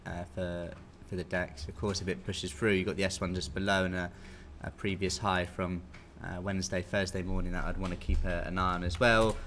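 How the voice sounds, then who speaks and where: A man's voice: deep voice, flat delivery, brisk, few pauses, a bit bright. A British man in his thirties giving an informal, conversational live market briefing as a technical analyst. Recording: clear background noise.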